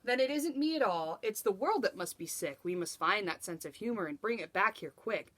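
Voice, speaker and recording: A woman reading a storybook, voicing an earnest, hopeful male court jester in expressive rhyme. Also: mid-range voice, a little fast. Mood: worried.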